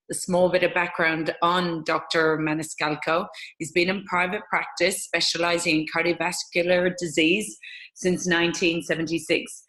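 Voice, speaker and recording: A woman's voice: lowish voice, fairly bright tone, low-quality recording. A middle-aged woman with an Irish accent, hosting a conversational interview. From Ireland.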